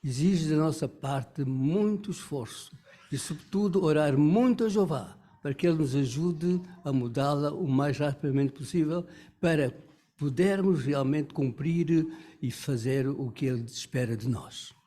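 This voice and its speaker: A man's voice: on the high side, a little slow, dark tone. A man giving an earnest comment at a religious study meeting. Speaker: congregation member giving a comment.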